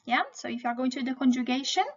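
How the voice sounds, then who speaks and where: A woman's voice: high-pitched, flat delivery, racing, breathy edge, muffled recording. A woman teaching a language lesson, explaining didactically and often checking in with the learner.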